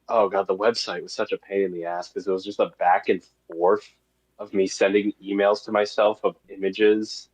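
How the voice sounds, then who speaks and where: Muffled, low-voiced man in casual interview. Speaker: guest, creator of an analog horror web series.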